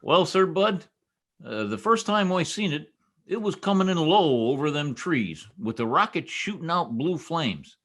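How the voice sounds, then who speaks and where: A man's voice: on the high side, very animated, a little slow, fairly bright tone, full character voice. A man playing a boastful, folksy small-town husband full of slangy bluster in an old-time radio comedy. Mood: boastful, suspicious. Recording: video call recording.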